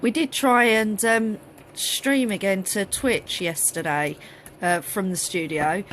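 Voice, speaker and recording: Woman, 30s, a bit hoarse, rambly live-stream chat on her phone, faint noise behind. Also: mid-range voice, slow pace, fairly bright tone. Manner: chatty, rambling, many fillers and restarts. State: slightly hoarse, losing her voice. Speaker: craft group host. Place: cluttered craft studio, indoors. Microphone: phone held at arm's length.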